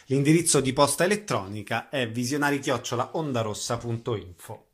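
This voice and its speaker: A man's voice: medium pitch, brisk, slightly rough. A man hosting a radio interview. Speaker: radio host.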